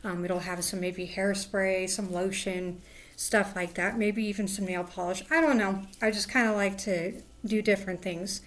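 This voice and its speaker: Hoarse woman chatting casually while crafting. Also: medium pitch, fairly even intonation, moderate pace. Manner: rambling, drifts into soft murmurs. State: hoarse, lost voice. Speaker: craft livestream host. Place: at home indoors.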